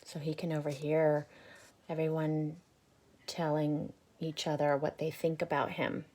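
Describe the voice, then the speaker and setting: A woman reading a picture book aloud to children, adding gentle asides and questions for her listeners. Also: low voice, completely flat delivery, unhurried. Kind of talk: read-aloud storytime with commentary for children.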